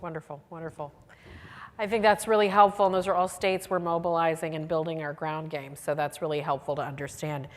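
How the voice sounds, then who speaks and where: Texas woman moderating, lowish voice, reads questions, lots of reverb. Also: steady tone, brisk. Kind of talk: panel interview.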